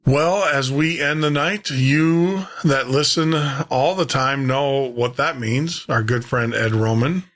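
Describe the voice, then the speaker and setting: A man's voice: medium pitch, slow, hardly any pauses, a bit bright. A man hosting a radio show, talking casually and conversationally to his listeners in a rambling way, repeating himself for emphasis. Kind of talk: casual sign-off talk to listeners.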